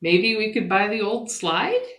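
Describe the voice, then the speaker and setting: A woman's voice: mid-range voice, sing-song, a little slow, a bit bright. A woman voicing a helpful female neighbour who offers suggestions in a children's storybook. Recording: roomy, echoing sound.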